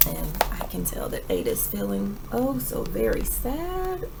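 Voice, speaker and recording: A woman reading a children's storybook aloud like a teacher, with dramatic pauses and emphatic phrasing. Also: medium pitch, wildly swooping pitch, gravelly, very noisy. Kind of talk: expressive children's read-aloud, rhyming storybook narration.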